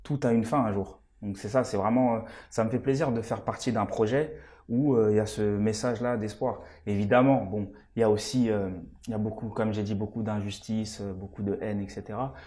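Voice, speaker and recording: A man's voice: on the low side, fairly even intonation, a little fast, mellow. A man in his twenties, an actor raised in France and born in Congo, answering interview questions in an earnest, hesitant way with many fillers. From Congo-Kinshasa, raised in France. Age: 20s. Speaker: stage actor, interview guest.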